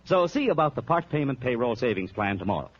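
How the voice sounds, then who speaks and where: A man with a husky voice announcing in a 1940s radio style. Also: medium pitch, big pitch swings, fast talker. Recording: dull old radio recording.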